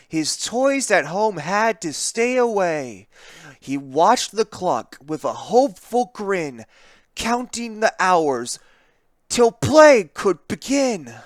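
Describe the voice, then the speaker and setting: A narrator reading a children's picture book aloud in an expressive, theatrical way, with deliberate emphasis on the rhymes. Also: medium pitch, no echo, studio quality.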